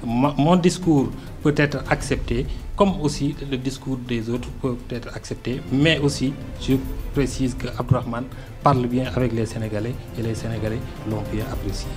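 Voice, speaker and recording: A man's voice: mid-range voice, fairly even intonation, normal speed, darkish tone, soft background music. A man with a Senegalese French accent, not a native French speaker, speaking as a guest in a political radio interview, earnest and hesitant, with many fillers and restarts. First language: Arabic-educated, not a French native speaker.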